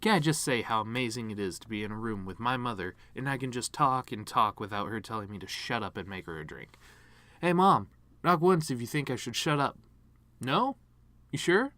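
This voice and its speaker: A man performing a dramatic monologue as a bitter, grieving horse giving his mother's eulogy, rambling with sardonic, self-deprecating deadpan humor. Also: medium pitch, a little fast, dry recording. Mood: needling.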